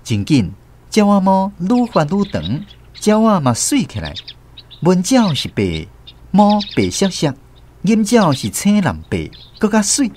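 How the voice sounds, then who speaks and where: A man's voice: sits high, wildly swooping pitch, measured pace, a little mellow, full character voice. An actor with a Taiwanese accent narrating a radio drama as a man fondly recalling his rural boyhood. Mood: reflective storytelling. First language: Taiwanese Hokkien. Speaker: narrator telling his childhood story.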